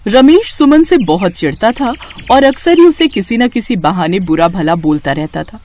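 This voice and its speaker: A woman's voice: mid-range voice, animated, normal speed, darkish tone, slight background noise. A woman narrating a scripted moral story for a cartoon.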